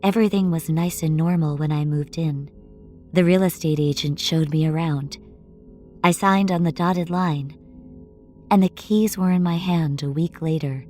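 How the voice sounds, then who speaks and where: Woman acting as wry young city newcomer, lowish female voice, conversational horror story narration, music underneath. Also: normal speed, mellow, natural voice. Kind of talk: first-person horror audio fiction narration.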